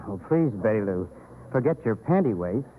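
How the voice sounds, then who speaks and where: A man's voice: on the low side, sing-song, normal speed, a little hiss or hum. A man playing the straight man in a 1930s radio comedy, explaining things with patient exasperation. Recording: tinny 1930s radio recording, music.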